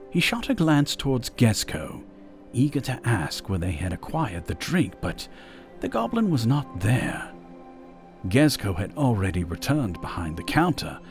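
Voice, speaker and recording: A man narrating a fantasy audio drama in a scripted storytelling style. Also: medium pitch.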